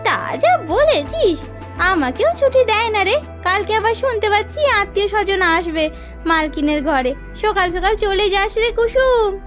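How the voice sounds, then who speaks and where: Cartoon village maid, acted by a woman: very high voice, gossipy, weary, complaining to her friend. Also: expressive, measured pace, soft background music. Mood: chatty complaining.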